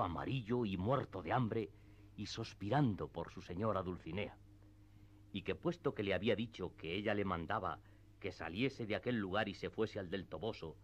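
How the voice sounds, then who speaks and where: A male narrator with a fairly low voice reads classic literary prose for a radio drama. The delivery is scripted storytelling, and the sound is slightly dull and muffled. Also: husky.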